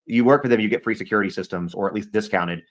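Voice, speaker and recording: A man's voice: lowish voice, racing. A man hosting a livestream interview, choosing his words carefully and often restarting. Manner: hedging, careful wording, many restarts. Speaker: livestream show host. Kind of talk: interviewing a guest.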